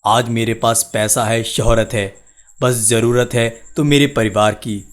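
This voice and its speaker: A man acts out a poor village labourer in a cartoon story. He is an earnest, plain-spoken husband worn by work. His pitch is ordinary and the delivery stays close to everyday speech. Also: fairly even intonation, measured pace, close to an everyday voice. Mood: longing, hopeful. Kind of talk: Hindi cartoon story dialogue.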